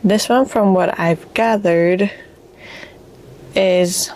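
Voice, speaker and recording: Slow-talking woman with a raspy voice. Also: mid-range voice, steady tone, a little mellow. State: congested from allergies. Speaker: book vlogger. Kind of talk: casual book chat.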